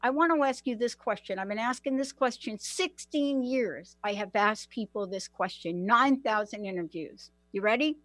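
Effusive female radio host, higher voice, dry close-miked sound. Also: moderate pace, few pauses, dry recording. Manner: animated, effusive, repeats herself. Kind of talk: radio interview.

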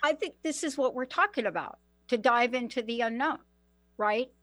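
Woman radio host, gushing and animated, kinda high voice, dry close sound. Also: moderate pace, dry recording. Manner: animated, effusive, repeats herself. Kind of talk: radio interview.

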